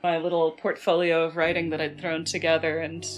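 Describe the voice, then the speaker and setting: Woman w a slightly low voice, casual and jokey telling stories, faint music in the back. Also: monotone, fairly quick, low-quality recording. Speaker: game writer, panel guest. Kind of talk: casual chat, telling anecdotes.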